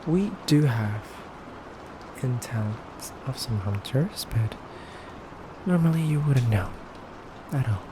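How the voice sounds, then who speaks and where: A man playing a stern but courteous femboy vampire interrogator in a soft, deliberate ASMR roleplay, often near a whisper. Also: medium pitch, animated, noisy background. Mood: stern warning.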